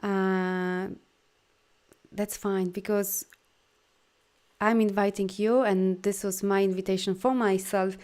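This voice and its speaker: A woman with a Polish accent coaches viewers through a live video, her voice at a middle pitch for a woman. She talks in an animated, encouraging way, with loose non-native phrasing and many "you know"s. When she guides breathing she slows down and softens. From Poland. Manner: soft, slow guidance during breathing. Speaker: life coach and energy healer.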